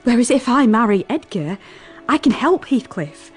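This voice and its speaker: An actress playing a passionate, headstrong young gentlewoman in an intense gothic radio drama. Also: on the high side, sing-song, moderate pace. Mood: passionate, earnest. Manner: impassioned, dramatic. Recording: music behind the voice.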